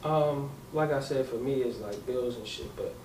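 A man's voice: mid-range voice, moderate pace, darkish tone, reverberant. Young man, blunt, frank, distant mic, faint noise. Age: 20s. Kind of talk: casual group chat.

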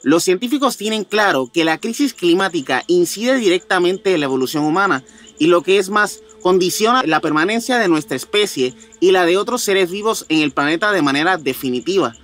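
High-pitched Puerto Rican man presenting. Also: expressive, a little fast, fairly bright tone, soft background music. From Puerto Rico. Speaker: podcast co-host. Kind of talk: presenting and reading news on a podcast.

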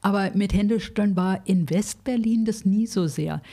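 A woman's voice: mid-range voice, moderate pace, mellow. A woman in her sixties from Berlin, chatting frankly as an interview guest, with many fillers and restarts. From Berlin, grew up in West Berlin. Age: 60s. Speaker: food bank manager, guest. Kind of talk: casual interview conversation.

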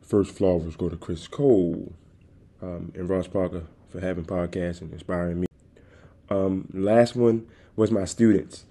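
A man hosting his own podcast, talking casually and directly to his listeners in a calm, soothing voice into a desktop microphone. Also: sits low, a little slow. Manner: easygoing, addresses listeners directly. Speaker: podcast host. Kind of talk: casual solo podcast talk. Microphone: desktop USB microphone.

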